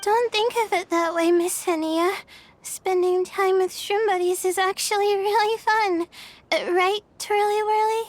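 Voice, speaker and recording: A woman voicing a sweet, gentle and shy young girl in a video game, childlike and a little hesitant. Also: extremely high pitch, steady tone, measured pace. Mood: gently encouraging.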